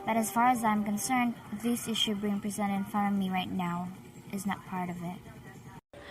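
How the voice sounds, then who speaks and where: A guarded, firm schoolgirl, voiced by a woman, heard from a distance from the mic, with loud music beneath. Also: mid-range voice, little pitch movement, normal speed, natural voice. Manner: defensive, firm. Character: guarded, curious girl who sees the past.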